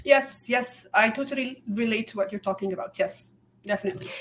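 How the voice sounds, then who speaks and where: Female podcast guest, slightly high voice, earnest and candid, lots of restarts. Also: brisk, a bit bright. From immigrant to Canada. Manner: earnest, repeats words and hedges often. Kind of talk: candid conversational interview.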